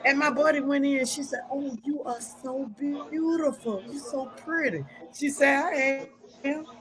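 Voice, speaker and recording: A woman's voice: sits high, unhurried, a crowd in the background, rough recording. A woman hosting a talk show, telling stories and preaching scripture in a folksy, casual way.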